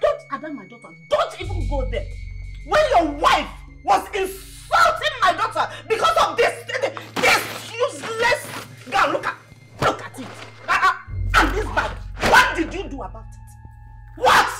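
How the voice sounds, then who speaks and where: A woman's voice: very high voice, very animated, a little slow, over loud music, full character voice. A middle-aged woman with a Nigerian accent acting a combative, protective mother in a family drama, scolding with rhetorical questions and exclamations. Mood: confrontational, furious. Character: combative, protective, meddling mother of grown daughter.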